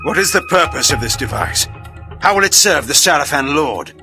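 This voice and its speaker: An actor playing a proud, vengeful vampire lord in a video game, declaiming in a cold, formal and theatrical manner. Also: male voice, fairly high pitch, very animated, moderate pace, over music. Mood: commanding. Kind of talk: dramatic video game voice acting.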